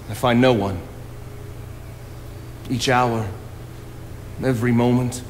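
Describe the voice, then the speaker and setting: A man's voice: mid-range voice, a little hiss or hum, close to an everyday voice. An actor in his thirties playing an earnest, solitary young naturalist on a theatre stage, speaking in a philosophical, declamatory way. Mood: lonely. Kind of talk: stage play acting. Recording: hall reverb of a theatre stage.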